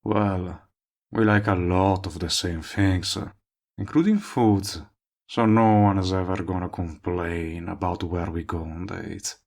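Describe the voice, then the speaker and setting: A man's voice: low-pitched, a little slow, vocal fry, a little mellow, full character voice. A man playing a cocky, lovestruck villain in an audio roleplay, teasing and coaxing the listener he is wooing. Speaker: voice actor. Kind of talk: intimate audio roleplay acting addressed to the listener.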